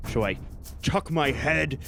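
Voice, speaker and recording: A playful man joking through a tabletop game. His pitch swings lively up and down. Music sits clearly in the background. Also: slow. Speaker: player.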